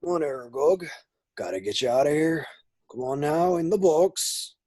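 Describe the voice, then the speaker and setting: Someone playing Hagrid, a huge, husky, pleading boy. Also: medium pitch, expressive, normal speed, warm tone, natural voice. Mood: coaxing. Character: young Hagrid, huge kindly boy, protective and rough-spoken. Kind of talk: amateur online table read of a screenplay.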